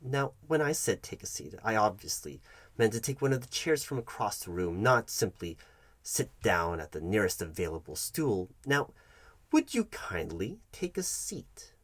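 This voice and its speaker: Guy doing a pompous bumbling interviewer, lowish voice that swings all over, condescending comedy roleplay, stammers, dry close sound. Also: very animated, normal speed, dry recording. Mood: fussy, officious. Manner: pompous, fussy, stammering and self-correcting. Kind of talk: comedic ASMR roleplay addressed to the listener.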